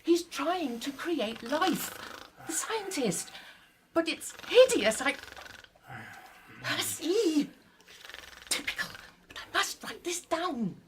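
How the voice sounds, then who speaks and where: A woman plays an excitable young female writer in a period radio play. The voice is high and very animated, earnest and dramatic, with slow, emphatic pacing. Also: background noise, exaggerated voice. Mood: excited. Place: theatre stage, live performance.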